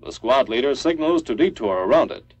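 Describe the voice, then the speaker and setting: A man's voice: medium pitch, expressive, brisk. A man reading a scripted 1950s military training film narration in a formal, clipped, instructional manner. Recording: dull lo-fi 1950s film soundtrack.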